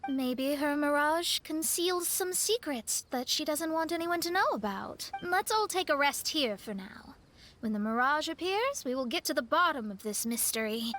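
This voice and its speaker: A woman's voice: high-pitched, animated, normal speed, fairly bright tone, a little hiss or hum. A woman playing a self-assured, analytical young mage in a video game, confident and articulate. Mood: confident, pondering.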